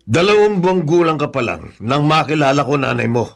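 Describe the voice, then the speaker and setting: An actor playing a remorseful but firm middle-aged father in a Filipino radio drama, speaking solemnly and deliberately. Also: fairly high pitch, sing-song, creaky.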